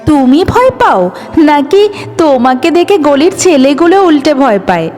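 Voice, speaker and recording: A woman's voice: high-pitched, very animated, unhurried, darkish tone, pitched above the performer's own voice. A woman voicing a mocking, smug mother in an audio story. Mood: amused mockery. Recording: long reverb with music behind.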